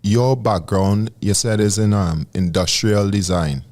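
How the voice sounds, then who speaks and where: A man hosting a radio interview, asking slow questions with many pauses and restarts. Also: lowish voice, steady tone, a little mellow. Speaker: radio host.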